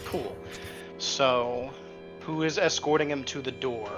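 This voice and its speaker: A man's voice: medium pitch, takes it slowly, over music. A man chatting casually as a player planning moves in a tabletop roleplaying game.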